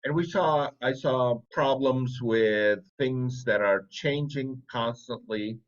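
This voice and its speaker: A man's voice: medium pitch, takes it slowly. A man in his fifties who grew up in Saudi Arabia, talking casually as an interview guest with conversational asides. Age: 50s. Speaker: interview guest, business owner. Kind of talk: casual personal storytelling. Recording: dull lo-fi audio.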